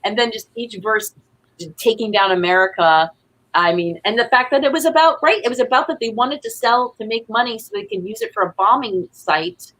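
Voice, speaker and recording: A woman guest in a casual chat, excitable and rambling, jumping from one half-finished thought to the next. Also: on the high side, fairly quick, rough recording. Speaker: podcast guest, playwright.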